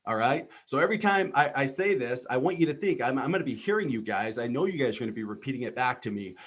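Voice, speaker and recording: Podcast host, man in his 30s, from Texas, earnest and rambling, mid-pitched voice, lots of ums. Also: animated, fast, slightly rough. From Texas, United States. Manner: earnest, lots of filler ums and repeated words. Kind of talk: motivational personal monologue.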